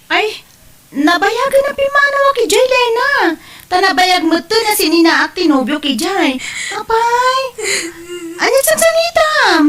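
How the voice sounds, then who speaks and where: Casting: actress as concerned female friend, high bright voice, exclamatory, Ilocano accent, audio drama. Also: lively intonation, a little slow, heavily acted. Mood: shocked, concerned. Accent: Ilocano Filipino. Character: sympathetic, concerned woman friend of the wife.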